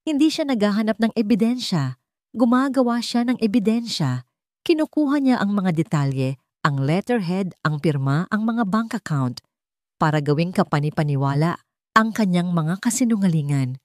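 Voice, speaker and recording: Stern mother in an audio drama played by a female narrator: commanding, emphatic, mellow tone, close dry sound. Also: mid-range voice, animated, normal speed, no echo, lightly acted. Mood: grave, emphatic. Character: stern, fiercely protective mother of a grown son.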